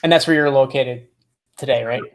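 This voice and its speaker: A man's voice: medium pitch, a little fast. A man hosting a podcast interview over a video call, talking casually with many restarts and fillers. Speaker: podcast host.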